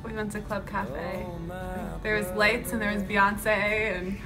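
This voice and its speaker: A woman's voice: mid-range voice, level tone, slow. Bubbly young woman remembering a fun night, some music behind. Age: young adult. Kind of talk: casual chat.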